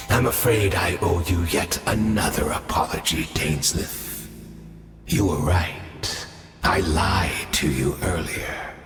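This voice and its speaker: A man voicing an ancient, eroded knight-instructor in a video game, courteous and wistful with a quiet menace, speaking formally and haltingly in a gravelly, breathy voice. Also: deep voice. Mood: slyly apologetic. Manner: slow, halting, formal, measured. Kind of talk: video game dramatic voice acting. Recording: echoey cavernous reverb, music behind.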